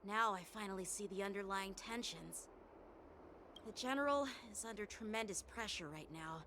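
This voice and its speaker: A woman's voice: mid-range voice, moderate pace, sharp tone, a little hiss or hum. A woman voicing an earnest, proud, dutiful boy swordsman in an anime video game. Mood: earnest, troubled. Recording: faint game music behind.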